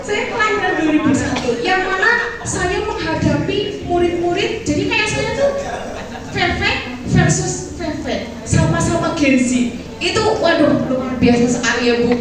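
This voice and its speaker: A woman's voice: very high-pitched, measured pace. Young woman presenting in an echoey hall. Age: 20s. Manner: chatty, quizzes the audience with questions. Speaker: English teacher and talk show guest speaker. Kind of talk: lively presentation to a teacher audience. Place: large hall with audience. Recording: echoey hall, distant mic, crowd noise.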